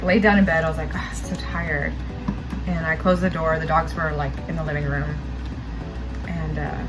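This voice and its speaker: A woman's voice: on the low side, poor audio. A young American woman telling a story casually and informally, saying "like" often. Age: young adult. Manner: informal, peppered with "like". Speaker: makeup vlogger. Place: small makeup studio, indoors.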